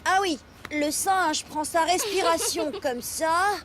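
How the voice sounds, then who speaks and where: A woman's voice: very high-pitched, slow, heavy background noise. A woman voicing an easygoing, encouraging young child in a children's cartoon. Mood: friendly. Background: music.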